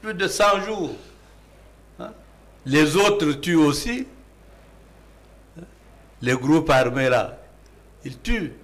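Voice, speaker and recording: A man's voice: on the high side, expressive, extremely slow, pauses often, a bit bright. A man with a Congolese accent, arguing emphatically as a panelist in a political debate and posing rhetorical questions.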